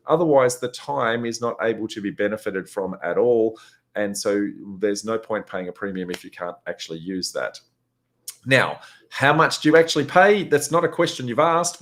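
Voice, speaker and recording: A man with a slightly raspy, hoarse voice, hosting a live question-and-answer session in a chatty way with lots of filler words. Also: fairly low pitch. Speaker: host.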